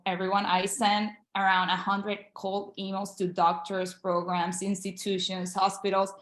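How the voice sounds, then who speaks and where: Venezuelan woman in an echoey room. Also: mid-range voice. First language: Spanish. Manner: earnest, encouraging, with frequent restarts. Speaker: guest, international medical graduate. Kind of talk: casual interview.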